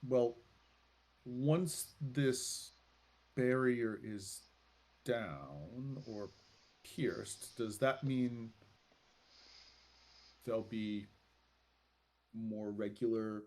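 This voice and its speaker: A man improvising as game master of a tabletop roleplaying game, speaking in a halting, deliberate way with many pauses. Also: mid-range voice, darkish tone, low-quality recording. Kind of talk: improvised in-character narration.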